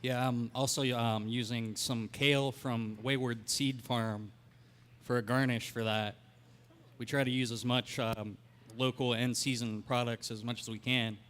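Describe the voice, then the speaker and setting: Brief: man in a stage cooking demo, relaxed and plain, even delivery, smooth clear voice. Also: mid-range voice, unhurried. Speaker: chef. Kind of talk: live cooking demonstration.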